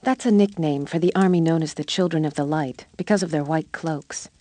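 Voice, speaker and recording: A scholarly tower keeper acted by a woman in a fantasy game, composed yet worried. The voice is lowish and soft-edged, and the delivery is measured and explanatory. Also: close to an everyday voice. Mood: disapproving. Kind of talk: dramatic game cutscene acting.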